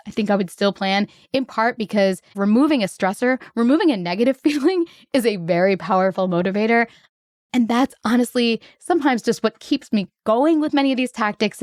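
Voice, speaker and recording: A woman hosting her own podcast solo, slightly hoarse, talking in an upbeat, grateful, chatty way. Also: medium pitch, a little mellow, no echo, studio quality. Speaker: podcast host.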